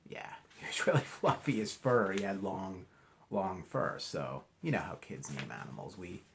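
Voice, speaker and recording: A man reading a storybook aloud to children. His pitch swings widely and has a gravelly creak. He is expressive and often turns to the young listeners with questions and chatty asides. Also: normal speed, a little hiss or hum. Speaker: children's storybook reader, teacher.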